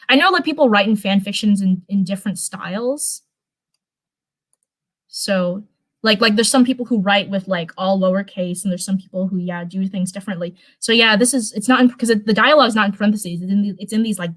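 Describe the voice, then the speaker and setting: A woman's voice: medium pitch, fairly quick, fairly bright tone. A woman reading fan fiction on a live stream in an informal way, with chatty asides.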